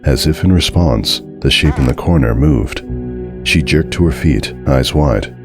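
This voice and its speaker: Very deep-voiced man narrating horror. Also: measured pace, over music. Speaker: narrator reading a fan horror story. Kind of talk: dramatic scripted narration.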